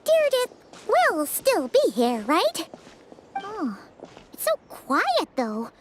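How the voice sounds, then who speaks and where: A woman voicing a small, childlike fairy companion in an anime-style video game, chirpy, blunt and excitable, with a squeaky voice. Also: takes it slowly, very noisy, exaggerated voice. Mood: nervous. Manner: refers to self in third person, exclamatory.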